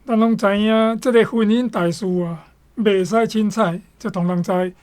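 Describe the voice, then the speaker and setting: A woman's voice: medium pitch, moderate pace, a little mellow. A woman with a Taiwanese accent giving conversational commentary.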